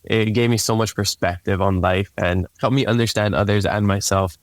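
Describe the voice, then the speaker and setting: A young man from Hawaii, a native Hawaiian speaker, talks through his story in a low, fairly level voice. His tone is smooth and clear, and the recording is dry and close. He sounds laid-back and often repeats a word as he starts a sentence. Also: steady tone, normal speed, no room sound. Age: young adult. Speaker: podcast guest. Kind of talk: casual interview storytelling.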